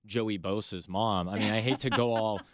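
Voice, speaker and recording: A man's voice: fairly low pitch, steady tone, normal speed. A man co-hosting a sports radio show, talking loosely with lots of "like" and "I mean". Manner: loose, laughs easily, uses "like" and "I mean". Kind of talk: casual sports radio chat.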